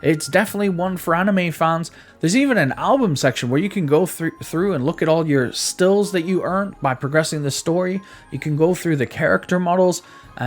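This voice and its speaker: Higher-pitched man reviewing games over music. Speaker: video game reviewer. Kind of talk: casual review commentary. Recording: background music under voice.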